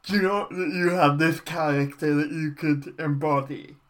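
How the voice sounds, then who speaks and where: A man interviewing a guest, asking questions gently and encouragingly. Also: fairly high pitch, steady tone, moderate pace. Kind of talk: casual interview.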